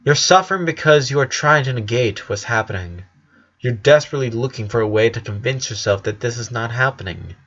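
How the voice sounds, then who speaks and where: A man voicing a calm, understanding male karate sensei in a storybook reading, gentle and measured. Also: mid-range voice, lo-fi sound, natural voice. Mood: calm, consoling. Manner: gentle, measured, wise counselling.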